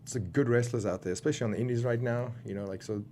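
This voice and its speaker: A man's voice: medium pitch, steady tone, rapid-fire, a little mellow, slight background noise. A man in his thirties with a South African accent, chatting casually as an interview guest, rambling with many fillers and restarts. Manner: rambling, lots of fillers and restarts, laughs often. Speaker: guest wrestler.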